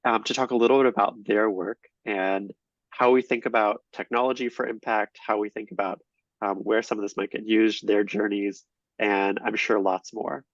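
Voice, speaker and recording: A man moderating an online panel, pausing often with hesitant ums as he introduces speakers and asks questions. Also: fairly low pitch, flat delivery, no echo. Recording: dull lo-fi audio.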